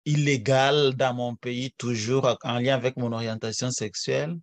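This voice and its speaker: Halting man introducing himself on a call. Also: medium pitch, fairly even intonation, no echo. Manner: halting, many restarts. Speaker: workshop participant. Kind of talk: personal introduction.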